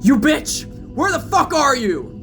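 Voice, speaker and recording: A man's voice: extremely high pitch, big pitch swings, unhurried, fairly bright tone, soft background music, voice pushed up, full character voice. A man voicing a deranged, furious young male killer in an audio drama, cocky, menacing and given to profane ranting.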